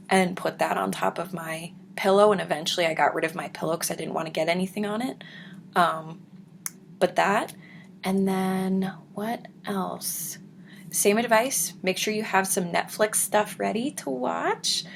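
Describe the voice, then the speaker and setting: A young woman with a deep, raspy voice, chatting casually to her viewers at home and slipping into soft whispers. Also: slight background noise. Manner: soft-spoken, drifts into whispers. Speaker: vlogger. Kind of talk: casual chat reading viewer comments.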